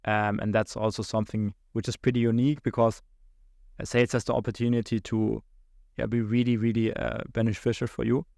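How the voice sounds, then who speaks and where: Young German-accented man, podcast guest; gravelly, monotone, halting and filler-heavy. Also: medium pitch, dry recording, clean studio sound. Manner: halting, many restarts and fillers, laughs between thoughts. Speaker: guest, account executive. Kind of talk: podcast interview.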